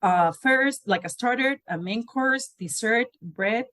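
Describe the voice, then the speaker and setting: A woman from Spain with a Spanish accent, a podcast guest telling animated stories about food and cooking. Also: a little high, takes it slowly, no room sound, clean studio sound. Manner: animated storytelling with frequent "like" and laughs. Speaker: guest, olive oil business founder. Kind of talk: casual podcast conversation.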